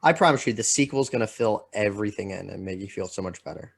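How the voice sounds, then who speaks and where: A man co-hosting a podcast in casual chat, talking in a halting, rambling way full of filler words. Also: fairly low pitch, creaky, husky. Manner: halting, rambling delivery peppered with "like". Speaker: podcast co-host.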